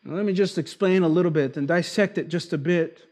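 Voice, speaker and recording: Pastor guy from argentina, highish voice, preaching slow and emphatic. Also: a little mellow. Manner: emphatic, slows to stress key words. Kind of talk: expository sermon.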